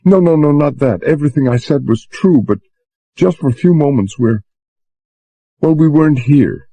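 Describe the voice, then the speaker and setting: A man's voice: fairly high pitch, animated, moderate pace, warm tone, no echo, voice pushed up. A man voicing a frightened young woman who pleads through tears, breathless and near sobbing. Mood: pleading.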